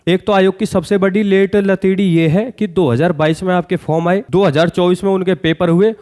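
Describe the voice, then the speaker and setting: Guy doing youtube exam news, really high voice, earnest and pointed, keeps asking the viewer questions. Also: fairly even intonation, a little fast, darkish tone. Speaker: exam-news YouTuber addressing job aspirants. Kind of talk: direct-to-viewer news commentary with rhetorical questions.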